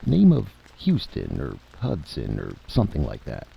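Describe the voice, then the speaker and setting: A man plays a folksy, inquisitive general in an old radio drama. His voice is very deep and gravelly, his talk informal and probing. The recording sounds muffled. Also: wildly swooping pitch, normal speed, heavily acted. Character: gruff, folksy, nagged-by-curiosity male army general. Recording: dull, noisy vintage radio recording.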